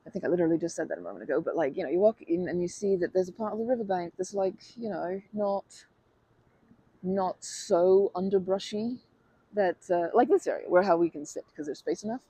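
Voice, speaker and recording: ASMR role: polite, overly formal female stranger played by a woman, rambling with fillers, gravelly low-bright tone, lively pitch. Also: a little fast, dry recording, rough recording. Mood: shy rambling. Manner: rambling, formal phrasing, many fillers and self-corrections. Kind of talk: ASMR roleplay.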